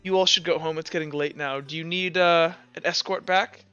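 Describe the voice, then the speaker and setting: A man voicing a weary female guard commander, stern but caring, speaking in a subdued, halting way for a tabletop game. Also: a little high, steady tone, pushed and bright, clean tone, highs cut off, faint music behind. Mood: tired, practical.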